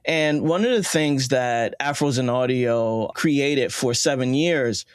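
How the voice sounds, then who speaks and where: A man's voice: medium pitch, takes it slowly, smooth, dry recording. A man speaking as a podcast guest in a conversational interview, encouraging and emphatic. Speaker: podcast guest, independent audio creator.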